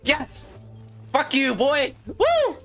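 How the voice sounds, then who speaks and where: Excitable man with bright, animated voice. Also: very high-pitched, drawn-out words, faint music behind. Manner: excitable, slangy, swearing, exclaiming. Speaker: game streamer. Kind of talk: casual live gameplay commentary.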